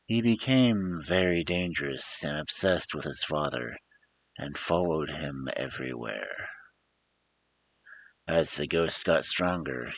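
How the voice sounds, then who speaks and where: A man's voice: very deep voice, fairly bright tone, a little hiss or hum. A man reading a story aloud as a storyteller, in a theatrical, emphatic storybook manner. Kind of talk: dramatic scripted story narration.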